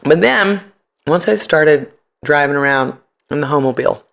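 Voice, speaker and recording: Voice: mid-range voice, moderate pace, creaky. An American podcast guest telling stories casually and colloquially, animatedly acting out what people said. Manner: animated, colloquial, acts out quoted speech. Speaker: podcast guest, founder of a ride service. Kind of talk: casual storytelling in an interview.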